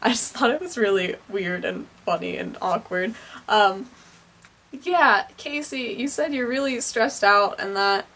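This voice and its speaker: A woman's voice: a little high, a bit bright. A teenage girl vlogging, rambling and self-conscious, with lots of fillers and giggles. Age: teens. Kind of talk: casual chat. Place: car while driving, then a bedroom.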